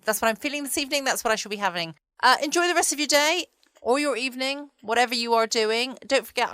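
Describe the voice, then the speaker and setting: A woman's voice: mid-range voice, fairly bright tone, no room sound. A woman hosting a bedtime podcast, chatting casually to listeners. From United Kingdom. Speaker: podcast host. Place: at home, indoors.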